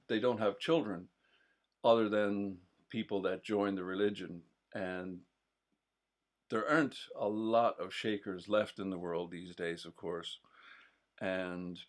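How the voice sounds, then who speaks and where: A man co-hosting a relaxed live stream, his voice sitting a little low. He talks unhurriedly and drifts through his points with fillers and restarts. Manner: rambling, hesitant, many fillers and restarts. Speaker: co-host of a local history stream. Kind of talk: casual chat.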